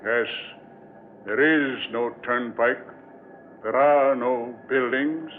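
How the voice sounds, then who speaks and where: Slow-spoken, eerie old hitchhiker played by a man. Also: medium pitch, natural voice. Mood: solemn, mysterious. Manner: terse, measured, cryptic. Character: aged male hitchhiker, cryptic, aloof, eerily calm. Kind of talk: old-time radio drama acting. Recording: muffled, hissy old radio recording.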